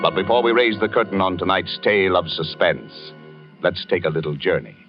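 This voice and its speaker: Deep, stately radio announcer man. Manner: measured, stately. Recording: muffled old radio broadcast with music.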